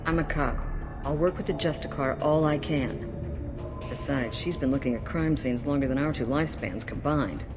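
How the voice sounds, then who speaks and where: A woman's voice: deep voice, moderate pace, lightly acted. Dry, world-weary alien policewoman played by an actress. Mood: guarded, frank. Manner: matter-of-fact, plain-spoken, dry. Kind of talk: video game cutscene acting. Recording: music playing underneath.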